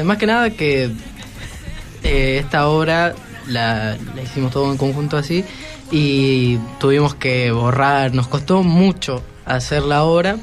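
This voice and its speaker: A teenage boy, speaking hesitantly as a student guest in a casual radio chat. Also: a little high. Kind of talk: casual interview answers.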